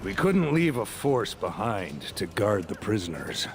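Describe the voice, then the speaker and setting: An actor playing a stern, dutiful male knight commander, solemn and measured, narrating a video game cutscene. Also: fairly low pitch, very animated, soft background music. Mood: grave resolve.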